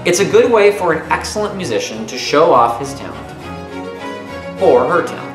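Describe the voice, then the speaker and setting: Man, 30s, teacher-style read-aloud of a kids' nonfiction book. Lively, expressive pitch movement, roomy home recording. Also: normal speed. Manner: expressive, clearly phrased for young listeners. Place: small room at home, indoors. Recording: roomy echo with music behind. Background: music.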